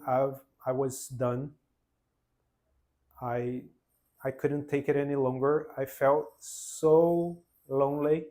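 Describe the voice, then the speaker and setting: Brazilian man in his forties, earnest, halting delivery with restarts, unscripted personal testimony. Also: medium pitch, darkish tone. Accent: Brazilian Portuguese. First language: Portuguese. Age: 40s. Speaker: vlogger.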